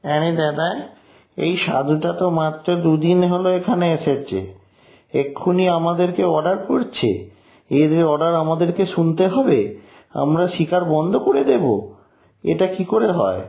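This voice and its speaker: Guy doing a brash cartoon village hunter, kinda high but soft-edged voice, chatty exclamations. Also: lively intonation, moderate pace, creaky. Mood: scornful, defiant. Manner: colloquial, exclamatory. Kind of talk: Bengali cartoon dubbing.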